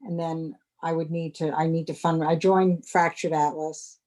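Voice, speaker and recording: A woman around fifty speaks on a video call, feeling her way through each sentence with halting restarts. Her voice is fairly low and mellow, warm rather than bright. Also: little pitch movement. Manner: hesitant, halting, many restarts. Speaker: sculptor and course participant. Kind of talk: casual video-call chat.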